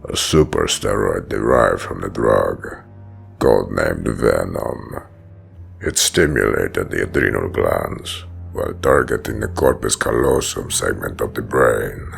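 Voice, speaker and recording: Menacing giant villain, very gravelly growl, slow deliberate pace, grandiose comic dub narration. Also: male voice, soft background music, heavily acted. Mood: brooding, resolute. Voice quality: gravelly, rumbling deep voice. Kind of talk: dramatic first-person comic dub narration.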